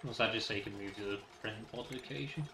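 A man's voice: on the low side, very fast, background noise. A man giving casual gameplay commentary, thinking aloud in a rambling way and reading game text out. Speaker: gaming video host. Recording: roomy echo, faint music behind.

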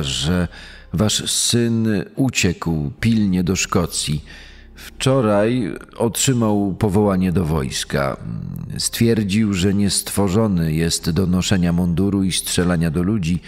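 True-crime dramatization: male actor as a courteous, deceitful murderer, measured and slow, with music underneath and a long echo tail. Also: fairly low pitch, darkish tone. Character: polite, smooth-talking male murderer deceiving his victim's parents. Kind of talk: acted line in a true-crime podcast. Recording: long reverb, music behind.

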